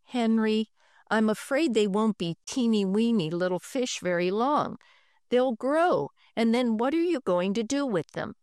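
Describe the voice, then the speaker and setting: A woman's voice: a little high, lively intonation, measured pace, darkish tone, no room sound, studio quality. A woman voicing a patient, wary mother. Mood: worried. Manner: patient, mildly worried.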